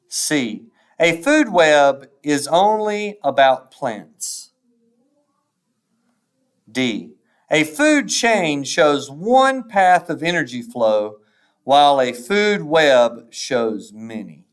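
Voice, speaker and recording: A man reading test questions and answer choices aloud like a teacher, in a measured, deliberate way with pauses after each option. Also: a little high.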